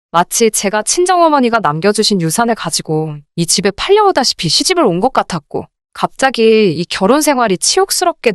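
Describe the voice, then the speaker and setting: A woman reading a scripted first-person story in an expressive, indignant radio drama style. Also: medium pitch, smooth, dry recording, clean studio sound. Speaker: narrator of dramatized listener stories.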